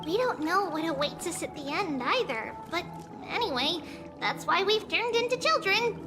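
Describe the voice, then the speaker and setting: A woman voicing a small, cheerful demigod girl in a video game, with a light childlike voice and an unhurried manner. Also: very high voice, lively intonation, music in the background. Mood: matter-of-fact, resigned. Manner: unhurried, speaks of herself as "we".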